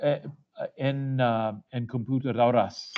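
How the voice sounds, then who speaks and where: A man learning Spanish, speaking in a halting way and searching for words in an online class. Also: medium pitch, steady tone, a little hiss or hum. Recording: muffled web meeting audio.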